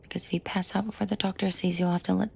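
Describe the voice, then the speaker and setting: Husky, breathy female mafia boss, acted by a woman. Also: on the low side, little pitch movement, very fast, heavily acted. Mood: tender, protective. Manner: swings between cold authority and soft coaxing, swearing. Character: commanding female mafia boss, furious yet protective and tender. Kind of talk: roleplay audio drama, intimate dramatic acting.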